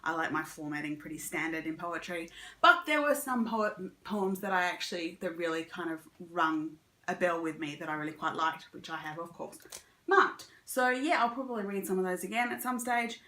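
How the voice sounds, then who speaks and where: Bright-voiced woman book vlogger in echoey room. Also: fairly low pitch, normal speed. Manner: chatty, informal, opinionated. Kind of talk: casual monthly reading wrap-up chat.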